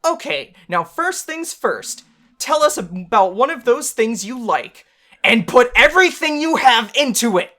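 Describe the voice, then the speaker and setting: A woman voicing a brash, fiery fish-warrior woman who bosses and encourages loudly in a visual novel game. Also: expressive, unhurried. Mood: bossy, encouraging. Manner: forceful, booming encouragement. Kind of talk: amateur visual novel voice acting.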